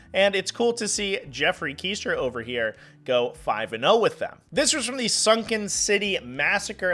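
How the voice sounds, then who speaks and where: A young man with a hoarse voice from a cold, hosting and commenting on tabletop game tournaments in an upbeat, chatty way. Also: on the high side, sharp tone. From New England, US. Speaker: host.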